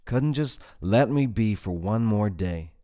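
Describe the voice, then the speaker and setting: A man voicing an unhinged balding male writer, talking in a flat, mocking, menacing way. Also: fairly low pitch, slow pace. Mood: bitter.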